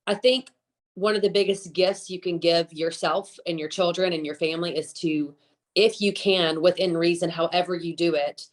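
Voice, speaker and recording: Low-voiced Southern US woman, chatty. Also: steady tone, normal speed. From Alabama, southern US. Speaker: guest, chef. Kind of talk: casual podcast conversation.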